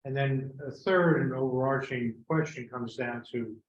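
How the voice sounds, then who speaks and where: A man's voice: mid-range voice, moderate pace, muffled recording. A man giving an informal, explanatory presentation of a plan at a board meeting. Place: meeting room. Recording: echoey room with background noise.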